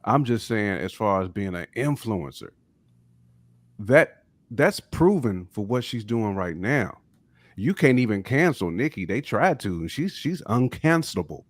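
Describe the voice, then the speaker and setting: A man's voice: lowish voice, big pitch swings, a little slow, no echo. An American man giving opinions on a podcast, emphatic and slangy, often repeating his points. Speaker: podcast panelist. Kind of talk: casual opinionated discussion.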